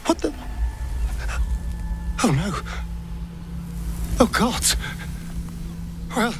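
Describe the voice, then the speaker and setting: An actor playing a flippant, excitable male rogue in a video game, with stammering, exclamatory delivery. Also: high-pitched, very slow, noisy background, full character voice. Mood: panicked. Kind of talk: video game cutscene acting.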